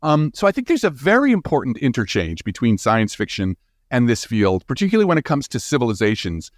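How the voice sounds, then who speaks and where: A man's voice: mid-range voice, very animated, fairly quick, dry recording, clean studio sound. A man speaking as a guest scientist in a conversational interview, enthusiastic and emphatic, often tagging his points with "right?".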